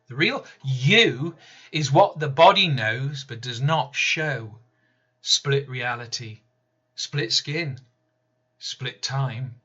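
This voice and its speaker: A man reading his own poem aloud in a slow, deliberate, measured way. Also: medium pitch, pushed and bright, slightly rough, muffled recording. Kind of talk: spoken-word poetry reading.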